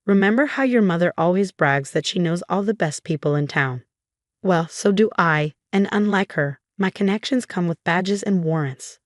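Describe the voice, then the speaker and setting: A woman narrator plays a protective mother out for justice in a dramatic audio story. She is calm, determined and pointed, in a dry, close recording. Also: fairly low pitch, normal speed, no room sound, natural voice.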